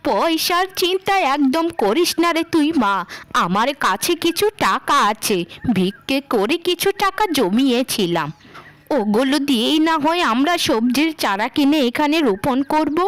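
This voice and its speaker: Elderly village widow played by a woman, high-pitched, tender and plaintive, cartoon melodrama, dry recording. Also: expressive, normal speed, no echo. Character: poor, affectionate old village widow.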